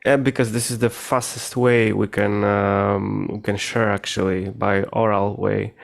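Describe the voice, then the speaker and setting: A man's voice: fairly low pitch, little pitch movement, measured pace, creaky. A man who speaks English as a second language, interviewing casually and hesitantly, searching for his words. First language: not English. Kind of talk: casual conversation.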